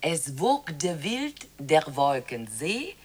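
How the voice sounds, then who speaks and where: A very slow, low-voiced verse reader. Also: female voice, very animated, crowd noise, full character voice. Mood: measured, reciting. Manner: slow, measured recitation. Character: female station crew member slowly reading out strange verse. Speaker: radio drama actor. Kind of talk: slow recitation of nonsense verse in a radio play.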